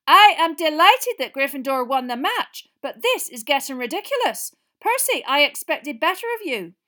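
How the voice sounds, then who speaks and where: A woman's voice: medium pitch, lively intonation, a little fast. A woman voicing a strict, imperious older female schoolteacher in a storybook, stern and exasperated.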